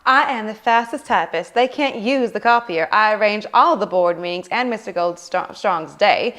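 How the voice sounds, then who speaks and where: A woman's voice: medium pitch, normal speed, a bit bright, natural voice. A woman playing a put-upon, earnest office secretary who protests, in a table read of a 1980s office drama.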